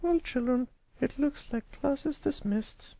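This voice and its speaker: A man voicing a kindly, concerned female pony schoolteacher in falsetto, in a storybook reading. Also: a little slow, gravelly, warm tone, no echo, exaggerated voice. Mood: gentle.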